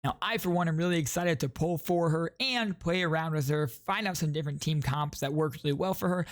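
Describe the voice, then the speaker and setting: Higher-pitched man explaining a game. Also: fairly quick, no echo, clean studio sound. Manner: informal, addresses viewers as guys. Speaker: gaming video host. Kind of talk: explanatory game commentary to viewers.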